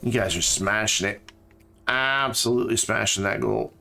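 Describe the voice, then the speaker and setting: A man's voice: fairly low pitch, normal speed, gravelly, pushed and bright, soft background music. A tired man with a slightly scratchy throat, streaming a game and thinking aloud in casual chat with many fillers.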